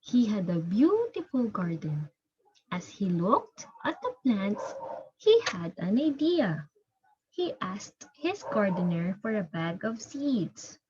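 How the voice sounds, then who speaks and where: A woman teacher giving a reading lesson, explaining step by step in a halting, repetitive way and checking understanding. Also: mid-range voice, very animated, mellow, breathy edge, muffled recording.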